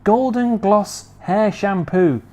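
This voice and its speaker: A reader voicing George, a calm and earnest boy in a storybook. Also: medium pitch, takes it slowly, pitched above the performer's own voice, lightly acted.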